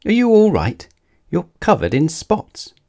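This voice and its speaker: A man's voice: on the high side, wildly swooping pitch, unhurried, vocal fry, a little mellow, pitched above the performer's own voice, exaggerated voice. A man voicing a concerned, fussing motherly woman in a children's storybook. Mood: alarmed. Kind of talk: children's storybook read-aloud.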